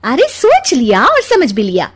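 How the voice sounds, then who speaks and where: A woman's voice: high voice, wildly swooping pitch, a little slow, husky, heavily acted. A woman voicing a confident, cheeky young daughter-in-law in a family story, perky and boastful. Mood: eager.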